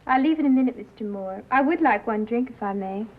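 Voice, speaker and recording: Higher-voiced poised receptionist. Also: female voice, expressive, brisk, narrow, tinny audio. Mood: poised, coy. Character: young receptionist, polite but pointed, self-possessed. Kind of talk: 1950s film drama dialogue. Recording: phone-thin old film soundtrack.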